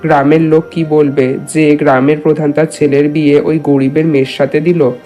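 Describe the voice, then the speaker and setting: A man's voice: fairly high pitch, level tone, normal speed, warm tone, natural voice. A man voicing a proud, status-conscious village headman and husband in a cartoon, speaking earnestly. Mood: proud refusal. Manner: earnest, addressing his wife familiarly. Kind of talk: cartoon dialogue. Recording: music behind the voice.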